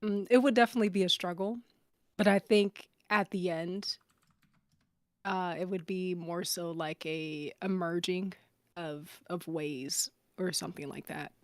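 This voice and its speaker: A woman in casual chat, speaking in a thoughtful, hesitant, measured way. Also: fairly low pitch, steady tone, no echo. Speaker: cast member on a panel.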